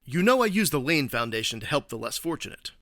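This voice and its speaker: Casting: male actor for a stuffy caped hero in a radio spoof, mid-pitched, animated, easily flustered. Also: quick pace, no room sound, clean studio sound. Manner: formal, straight-laced, flustered. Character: earnest, stuffy adult male caped crime-fighting hero. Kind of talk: comedy radio play acting.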